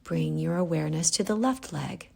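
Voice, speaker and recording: A woman guiding a yoga nidra relaxation, giving calm, gentle, unhurried instructions with pauses. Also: low voice, vocal fry, husky. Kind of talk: guided relaxation meditation.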